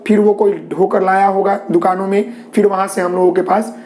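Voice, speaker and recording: A man's voice: high voice, flat delivery, fairly quick, darkish tone. A man from Bihar with a Bihari Hindi accent and a sore throat, teaching a live online class, with many fillers and reassuring asides to viewers. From Bihar, India. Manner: repetitive fillers, frequent "ji" and "okay"; reassuring, tells viewers not to worry.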